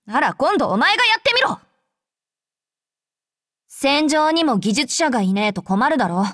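A woman voicing a brash, tsundere young female android soldier in an anime game, with rough, blunt, tomboyish speech. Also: high voice, big pitch swings, moderate pace, a bit bright, dry recording, clean studio sound. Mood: irritated. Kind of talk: Japanese anime game character voice lines.

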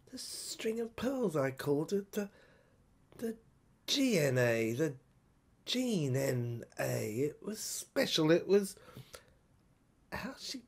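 A woman narrating a first-person audio story dramatically, halting and repeating phrases for effect. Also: mid-range voice, darkish tone, husky.

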